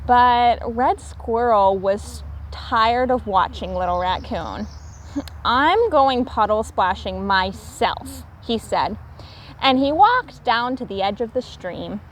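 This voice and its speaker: Female read-aloud teacher: high, very animated, unhurried storytelling for children. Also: slight background noise. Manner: expressive, deliberate.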